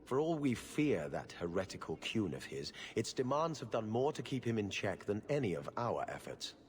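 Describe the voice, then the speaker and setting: An actor playing a prim, middle-aged government official in a video game, speaking in a formal, measured and dryly disdainful way. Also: male voice, on the low side, big pitch swings. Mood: guarded, disdainful. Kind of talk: video game cutscene acting. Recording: echoey hall reverb.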